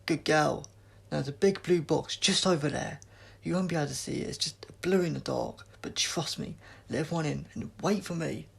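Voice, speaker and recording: A man's voice: fairly high pitch, brisk, gravelly, slightly rough, voice pushed up, heavily acted. A man voicing a quirky, chatty adventurer hero in an audiobook story, with an exclamatory, playful and rambling manner. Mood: excited, urgent.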